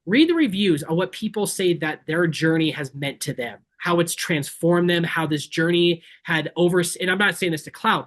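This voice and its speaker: Earnest, passionate man pitching safaris. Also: a bit bright. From Kenya. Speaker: safari tour operator, presenter. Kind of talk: informal webinar sales pitch. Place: online video call.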